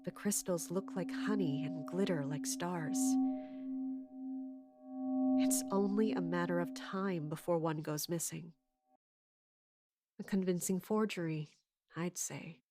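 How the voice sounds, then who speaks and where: A woman playing a scheming, authoritative commander in an audio drama, speaking in a measured way. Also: on the low side. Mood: weary, calculating. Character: scheming, commanding woman in charge of palace guards. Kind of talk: audio drama acting with first-person narration.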